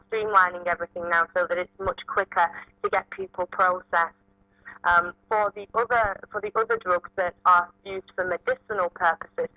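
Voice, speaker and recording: A woman's voice: fairly low pitch, no echo. A woman giving methodical, detailed explanations as an expert guest in a live radio interview. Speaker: motoring lawyer, radio guest. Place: live local radio broadcast. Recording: dull lo-fi radio or phone audio.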